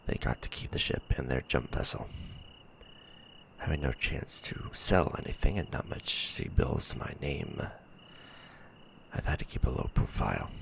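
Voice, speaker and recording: Male actor for stranded sci-fi soldier: worn-out, bitter, rock-bottom pitch, heavy vocal fry, muttered ASMR log delivery with sighs. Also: a little hiss or hum, heavily acted. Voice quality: very deep, gravelly, breathy murmur. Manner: low muttering with sighs and hesitant pauses. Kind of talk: sci-fi ASMR roleplay audio log monologue.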